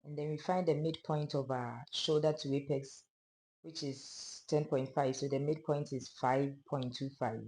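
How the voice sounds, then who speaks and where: A sewing instructor with a hoarse, fading voice, calmly narrating a pattern-drafting tutorial step by step. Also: medium pitch, normal speed, slight background noise. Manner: calm, step-by-step explaining of measurements. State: hoarse, losing voice.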